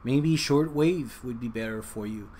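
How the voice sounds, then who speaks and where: Halting, rambling man over background noise. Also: medium pitch, mellow. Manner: halting, rambling, with frequent hesitations. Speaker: hobbyist video presenter. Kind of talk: casual unscripted explanation.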